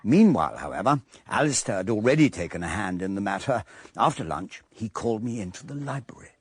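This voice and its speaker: An actor playing a polite, thoughtful English gentleman sleuth who narrates a radio mystery, well-spoken and earnest. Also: on the low side, sing-song, brisk, husky, no room sound. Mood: reflective.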